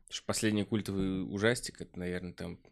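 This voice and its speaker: A man's voice: sits low, steady tone, fast, creaky. A man chatting casually as a podcast guest, slangy and full of opinions. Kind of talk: casual chat.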